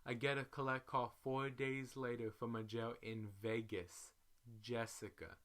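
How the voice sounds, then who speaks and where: Bright, forceful male storyteller. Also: medium pitch, measured pace. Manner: animated, profane, slangy, acts out each quote. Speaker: reader, storyteller. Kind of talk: dramatic reading of a social media story thread.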